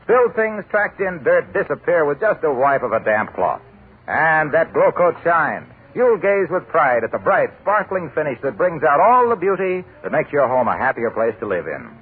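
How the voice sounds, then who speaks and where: A man's voice: fairly high pitch, big pitch swings, moderate pace, breathy edge. A man announcing a commercial in a hearty, salesman-like manner for a 1940s radio show. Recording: tinny 1940s radio broadcast.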